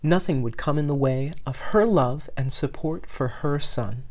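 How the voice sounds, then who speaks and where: Fiction narrator for an audio story: expressive scripted delivery at a medium pitch. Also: normal speed. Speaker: podfic reader.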